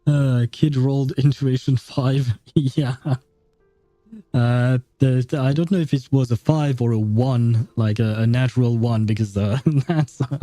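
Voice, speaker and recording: Sardonic man with a very dark, mellow voice. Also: mid-range voice, fairly even intonation, a little slow, no echo. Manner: sardonic asides. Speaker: game streamer. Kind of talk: reading game story aloud with casual commentary. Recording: background music behind voice.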